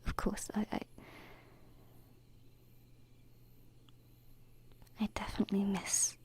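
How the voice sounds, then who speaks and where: A woman playing a shy, homesick alien princess in an ASMR roleplay, speaking gently and hesitantly with long pauses into a close binaural microphone. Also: medium pitch, completely flat delivery, close to an everyday voice. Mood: wistful, tender. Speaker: ASMR streamer.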